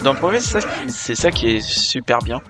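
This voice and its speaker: A man's voice: mid-range voice, sing-song, moderate pace. A young man giving casual let's-play commentary, rambling and correcting himself as he chats to viewers. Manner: rambling, self-correcting, chatty. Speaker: gaming video creator. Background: game music.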